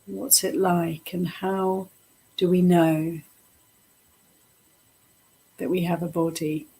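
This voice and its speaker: A woman guides a meditation in a slightly low, breathy and husky voice. She speaks very slowly and gently, setting out short phrases with pauses between them. Her delivery is softly instructive, as a teacher leading a practice. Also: level tone, poor audio.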